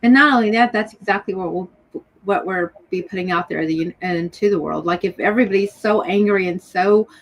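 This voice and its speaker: A woman talking in an animated, rambling way in a casual podcast chat. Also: mid-range voice, brisk.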